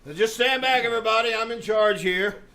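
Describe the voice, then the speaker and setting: A man playing a brash, self-important, bumbling detective, blustering and excitable in a live radio comedy-drama. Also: sits high, a little fast.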